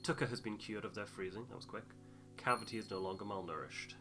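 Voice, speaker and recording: Casting: male game playthrough host, lower-pitched, casual, thinks aloud, music playing behind him. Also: quick pace. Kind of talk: casual gameplay commentary, thinking aloud and reading out game text. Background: game music with guitar.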